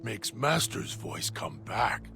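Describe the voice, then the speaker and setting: Brief: actor as a menacing dog-creature, male, speaking slow and clipped in the third person, over game music. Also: on the low side, sing-song, exaggerated voice. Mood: brooding. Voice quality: gravelly. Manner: clipped broken speech about himself in third person. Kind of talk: game character monologue. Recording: music playing underneath.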